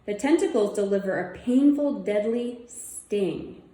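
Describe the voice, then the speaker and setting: A woman's voice: medium pitch, animated, measured pace, dark tone. A woman reading a children's nonfiction book aloud, using simple child-directed phrasing and wondering aloud in casual asides. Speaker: read-aloud presenter. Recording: roomy, echoing indoor sound.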